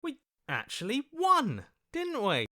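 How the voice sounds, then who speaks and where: A man's voice: extremely high pitch, wildly swooping pitch, takes it slowly, pushed and bright, heavily acted. A man playing an earnest, anxious young hero, an inner voice in a video game, with a questioning manner. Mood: uneasy. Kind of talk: dramatic video game voice acting.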